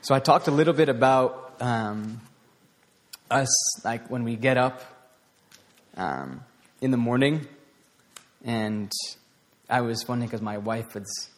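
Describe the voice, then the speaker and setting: A man giving an informal, conversational sermon to a small group, with a voice turning raspy and plenty of restarts and fillers. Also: medium pitch, lively intonation, slow pace, long pauses. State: voice getting raspy. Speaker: preacher. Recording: long hall reverb.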